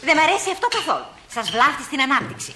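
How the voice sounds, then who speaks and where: An actress playing a strict, brisk small-town mother in a radio play. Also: sits high, expressive. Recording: noisy old radio drama recording.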